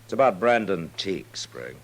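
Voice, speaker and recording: A very low-pitched American voice of a stern, principled frontier marshal. He sounds weary but firm, in classic radio western style, on an old recording with a faint noise and hum. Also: big pitch swings, brisk. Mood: grave, firm. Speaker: radio drama actor. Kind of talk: old-time radio drama acting.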